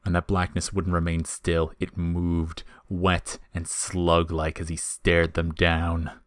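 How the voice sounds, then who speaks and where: Deep-voiced male story narrator. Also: completely flat delivery, slow pace, dry recording, clean studio sound. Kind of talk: scripted dramatic story narration.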